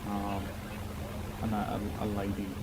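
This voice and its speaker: A man gives formal, halting testimony in a roleplay courtroom, repeating words as he works through his account. His voice sits on the low side. Steady background noise hangs under the game voice chat.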